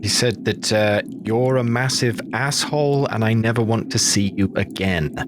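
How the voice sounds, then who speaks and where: A man's voice: fairly low pitch, moderate pace, background noise. A man playing a tabletop game, talking casually in character, with music underneath.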